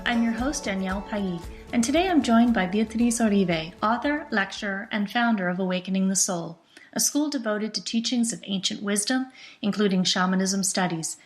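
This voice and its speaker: A woman's voice: mid-range voice, moderate pace, faint music behind. A woman hosting an interview show, reading a polished introduction and then chatting with a guest, laughing often. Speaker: podcast host. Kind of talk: interview, warm scripted intro then chat.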